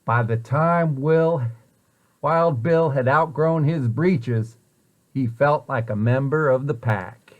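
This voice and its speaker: A man reading a children's story aloud in an expressive way, with deliberate, dramatic emphasis. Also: medium pitch, lo-fi sound. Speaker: storybook reader, site director at a children's center.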